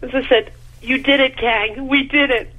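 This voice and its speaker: A woman's voice: high voice, steady tone, normal speed, muffled recording, slight background noise. A woman choir director calling a radio show, overwhelmed and close to tears. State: overwhelmed, tearful. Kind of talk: emotional live reaction.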